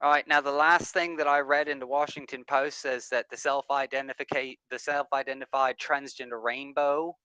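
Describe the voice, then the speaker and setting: Bright-voiced man arguing over voice chat. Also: medium pitch, steady tone, moderate pace, few pauses, clean tone, dry recording, rough recording. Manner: talks over the other side, repeats and restarts under pressure. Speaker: debater. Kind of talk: heated online debate over voice chat.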